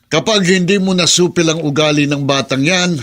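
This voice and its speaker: A man's voice: a little high, moderate pace, fairly bright tone, lightly acted. A man playing a stern, grumbling elderly grandfather, crotchety and emphatic, in radio drama style. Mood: indignant, warning. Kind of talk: Filipino radio drama acting.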